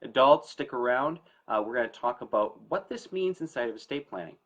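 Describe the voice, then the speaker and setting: Low-pitched man, dull lo-fi recording. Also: animated, brisk, fairly bright tone. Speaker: estate planning attorney hosting a story time talk. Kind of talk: informal educational talk to camera.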